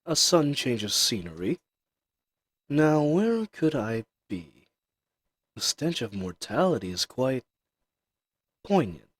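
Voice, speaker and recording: A man's voice: mid-range voice, big pitch swings. A man voicing an ancient, powerful dragon in human form, speaking in a grandiose, condescending and seductive way in a roleplay audio drama. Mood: curious, imperious. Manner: slow, grandiose, formal, condescending, with drawn-out pauses. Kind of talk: scripted roleplay audio drama addressed to the listener. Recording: slight fuzzy microphone hiss.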